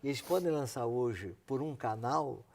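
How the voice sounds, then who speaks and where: An older man with a Brazilian accent, a radio veteran reminiscing in halting, repetitive, emphatic speech. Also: mid-range voice, darkish tone. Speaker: veteran radio drama performer. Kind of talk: reminiscing in conversation.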